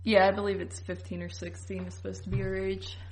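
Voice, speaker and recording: A woman's voice: medium pitch, little pitch movement, a little fast, a little hiss or hum. A woman co-hosting a podcast, chatting informally and peppering her sentences with "like". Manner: informal, heavy use of "like". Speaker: podcast co-host. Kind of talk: casual podcast chat.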